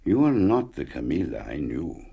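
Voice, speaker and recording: An actor playing a sad, lonely old widower in a radio play, tender and halting, with a gravelly, husky voice. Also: male voice, rumbling low, very animated, dull audio, exaggerated voice. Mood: intrigued, tender.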